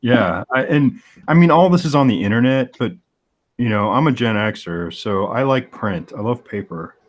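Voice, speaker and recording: A man's voice: medium pitch, lively intonation, normal speed. A man in his forties, a comic artist, chatting casually and thinking aloud as he searches for the right words. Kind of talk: casual chat on a live stream.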